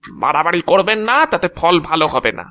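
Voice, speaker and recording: A man's voice: fairly high pitch, very animated, brisk, pushed and bright, no room sound. A man playing a touchy, self-important agent of Death in an audio drama, with a theatrical, indignant manner. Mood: warning. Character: touchy, officious agent of Death, proud of his job.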